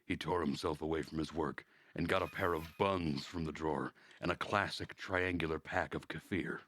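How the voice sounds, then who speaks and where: A man's voice: very deep voice, fairly even intonation, normal speed, a bit bright. A man streaming a visual novel, reading it aloud as narrator with wry, casual asides.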